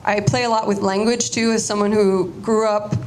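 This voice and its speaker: A woman's voice: mid-range voice, level tone, normal speed, darkish tone, a little hiss or hum. A Cuban-American woman whose first language was Spanish, giving a casual artist talk and often restarting her sentences. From United States, Cuban-American family.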